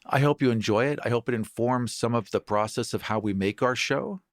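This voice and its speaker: A man's voice: mid-range voice, brisk, no room sound, clean studio sound. A man speaking as a podcast host and game master, delivering a scripted introduction. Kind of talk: scripted show introduction and promo.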